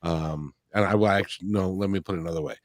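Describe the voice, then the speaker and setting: Really deep voiced guy, earnest and reassuring, dry close mic. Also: fast talker, no echo. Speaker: interview host. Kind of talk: casual interview chat.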